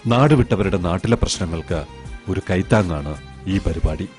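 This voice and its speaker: A man's voice: sits low, animated, moderate pace, a little mellow, soft background music. A man reading a scripted television promo as its announcer.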